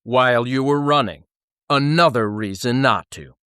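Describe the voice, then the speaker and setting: A man voicing a grumpy space station captain in a children's audiobook, gruffly reprimanding with emphatic questions. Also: medium pitch, moderate pace, a bit bright, dry recording, clean studio sound, lightly acted. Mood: stern scolding. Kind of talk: dramatised children's audiobook reading.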